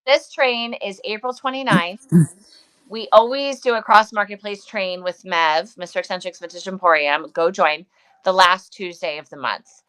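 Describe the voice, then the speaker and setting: A woman's voice: medium pitch, normal speed, fairly bright tone, clean tone, rough recording. A middle-aged woman co-hosting a casual, unscripted livestream, chatty and jokey, often repeating her phrases. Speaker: co-host of a vintage seller livestream.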